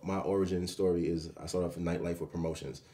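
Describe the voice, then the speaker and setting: A man's voice: deep voice, steady tone, fast talker, mellow. A middle-aged man talking casually as an interview guest, often adding little check-in phrases as he goes. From Jamaica and the Bronx, New York. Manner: peppers talk with "you know what I'm saying". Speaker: guest, restaurant owner and chef. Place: small restaurant dining room, indoors.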